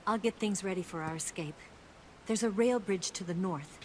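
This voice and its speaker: A woman's voice: mid-range voice, normal speed, a little hiss or hum, natural voice. An actress voicing a confident, flirtatious female spy in a video game cutscene, teasing and breezy. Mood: briefing. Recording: faint music under the dialogue.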